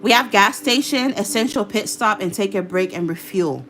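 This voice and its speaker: A woman's voice: mid-range voice, normal speed, a bit bright, soft background music. A woman giving a casual, chatty tarot reading, talking freely, repeating herself and swearing now and then. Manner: chatty, repetitive, casually profane. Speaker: tarot reader.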